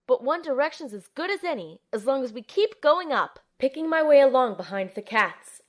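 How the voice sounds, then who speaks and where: Actress playing young female agent narrating her mission, earnest n expressive, highish voice that swoops a lot, audio drama. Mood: puzzled. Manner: expressive, storytelling. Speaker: narrator and lead character.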